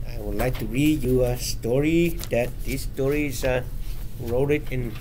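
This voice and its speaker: A man reading a picture book aloud to children, with halting, simple phrasing and casual asides to check that listeners follow. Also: medium pitch. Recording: faint background noise.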